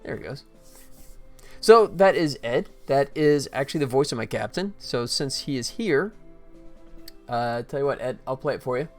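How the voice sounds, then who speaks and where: A man's voice: mid-range voice, animated, moderate pace, faint music behind. A man hosting a casual game development livestream, chatty and informal, talking directly to viewers. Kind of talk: casual livestream chat, showing work in progress.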